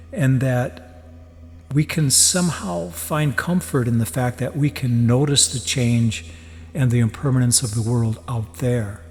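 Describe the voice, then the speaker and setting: A man giving a guided meditation teaching in a measured, deliberate way, with pauses between phrases. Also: medium pitch. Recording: long reverb, soft music behind. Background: music.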